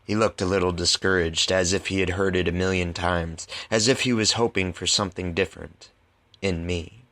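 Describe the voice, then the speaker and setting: A man of thirty reading his own writing on a podcast and explaining it in a deliberate way. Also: low voice, fairly even intonation, pushed and bright, dry recording. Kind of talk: literary reading mixed with casual explanation.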